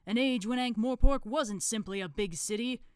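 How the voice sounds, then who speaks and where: A woman voicing a pompous, scheming male grand master of a secret order in archaic, drawn-out phrases, in a storybook reading. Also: fairly high pitch, clean tone, no room sound, studio quality, pitched above the performer's own voice, lightly acted. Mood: grandiose.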